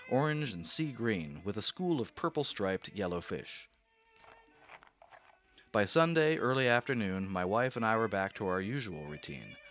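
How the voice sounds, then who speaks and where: A man's voice: on the low side, bright, forceful tone. A man narrating his own story in a radio drama, anxious and growing obsessive.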